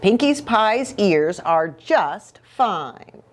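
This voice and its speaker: A woman voicing a patient but firm mother cat in a storybook, measured and gently scolding. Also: mid-range voice, very animated.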